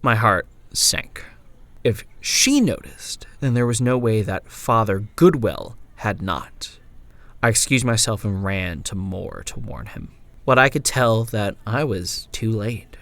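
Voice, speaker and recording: A man playing a wary frontier traveller, narrating a scripted horror journal in a grave, measured, dramatic way. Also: on the low side, lively intonation, creaky, fairly bright tone, dry recording, full character voice. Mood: uneasy, dread.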